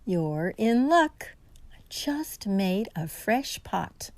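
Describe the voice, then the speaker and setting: A woman plays an earnest, superstitious diner waitress in a radio mystery. She takes her time over each line, with lively pitch movement and a folksy turn, recorded dry and close. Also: extremely slow, no echo, full character voice. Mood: welcoming. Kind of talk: radio mystery drama acting.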